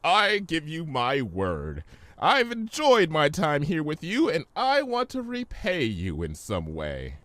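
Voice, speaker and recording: A man acts out a grandiose chimera trickster playing genie in a storybook reading. The pitch swoops dramatically from low to high, wheedling and showy, in a dry, close sound. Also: wildly swooping pitch, slow pace, a bit bright, no room sound, exaggerated voice. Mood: persuasive. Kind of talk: fan fiction audiobook character voice.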